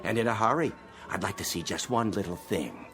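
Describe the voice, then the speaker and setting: A man's voice: on the low side, brisk, fairly bright tone, faint music behind, lightly acted. An actor playing a bossy, suspicious policeman with a curt, commanding manner and folksy grammar, in radio drama style. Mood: brusque, suspicious.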